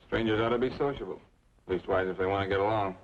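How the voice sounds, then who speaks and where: An actor playing a curt, intimidating crooked marshal in an old western drama. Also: male voice, deep voice, fast talker, natural voice. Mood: curt, threatening. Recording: dull old film soundtrack.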